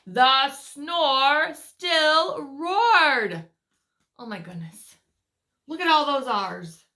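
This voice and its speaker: A high-voiced woman reads a story aloud for preschoolers. Her delivery is slow and very animated, with drawn-out, dramatic words and little asides to the children, as a teacher would give.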